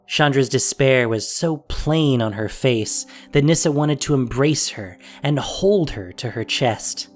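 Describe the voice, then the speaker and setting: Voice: medium pitch, animated, a little slow, hardly any pauses, soft background music. A narrator reading a scripted fantasy story in a dramatic audio drama style.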